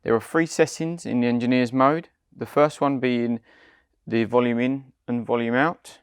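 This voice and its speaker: Gravelly British man giving instructions. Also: medium pitch, steady tone, moderate pace, no echo, studio quality. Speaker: product demonstrator. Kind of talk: scripted step-by-step instructional narration.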